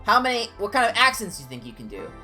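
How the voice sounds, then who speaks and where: A man with a higher-pitched voice, chatting casually and discursively as a game streamer. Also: very fast, soft background music. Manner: animated, discursive, jumping between tangents. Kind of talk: casual rambling livestream chat during gameplay.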